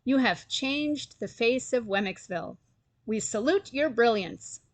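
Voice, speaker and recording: A woman's voice: on the high side, expressive, dry recording. A woman voicing a pompous, scornful male town mayor who makes declamatory speeches in a children's story. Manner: declamatory, pausing for effect.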